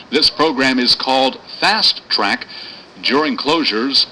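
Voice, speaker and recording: Male subway announcer, bright lively voice, bit of train noise behind. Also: medium pitch, rough recording. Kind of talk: scripted recorded public announcement.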